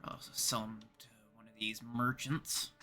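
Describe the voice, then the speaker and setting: Bright-voiced Canadian man streaming a game. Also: mid-range voice, flat delivery, normal speed, soft background music. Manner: thinking aloud, chatting to viewers. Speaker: game livestream host. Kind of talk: casual livestream chat while playing.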